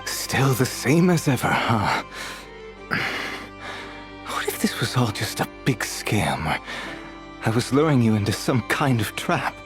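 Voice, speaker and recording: An actor playing a dying, destitute old thief in a video game, with a weak, breathy voice and a halting, resigned, wry manner. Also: male voice, medium pitch, big pitch swings, over music. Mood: amused, weak. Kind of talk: dramatic video game voice acting.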